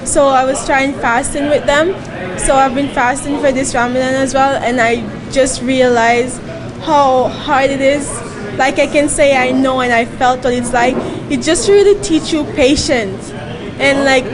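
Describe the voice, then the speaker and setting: A young woman from Jamaica, a volunteer answering interview questions earnestly and searching for words. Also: high voice. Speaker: volunteer guest. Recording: noisy crowd around.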